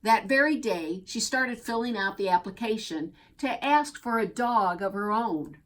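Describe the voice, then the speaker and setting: A woman reading a children's picture book aloud as a storyteller, expressively and deliberately, drawing out key words. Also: a little high, expressive. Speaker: storybook reader.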